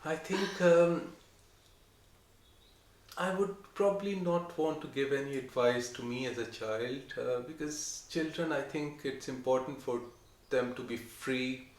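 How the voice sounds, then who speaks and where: A young adult man from Pakistan talks in an unhurried, soft-spoken way, stopping often to search for words. His voice sits at an ordinary pitch and carries a noticeable room echo. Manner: soft-spoken, hesitant, many pauses and ums. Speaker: interviewee. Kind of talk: casual chat. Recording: echoey room.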